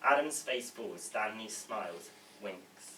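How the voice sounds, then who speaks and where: A man's voice: fairly low pitch, lively intonation, slow, sharp tone. A man reading stage directions as scripted narration at a table read. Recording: echoey room, distant mic, faint noise.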